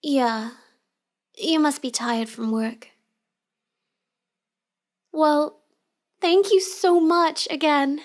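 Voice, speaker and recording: A woman playing a shy, sweet young villainess who feigns helplessness in a halting, apologetic way for an intimate roleplay audio. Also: high voice. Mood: sweet, guarded.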